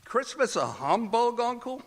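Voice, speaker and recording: Guy acting happy kind nephew, pitched up high, hearty, echoey stage radio drama. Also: sing-song, measured pace, a bit bright, heavily acted. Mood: cheerful. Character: cheerful, kindly nephew of the miser. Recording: reverberant theatre hall.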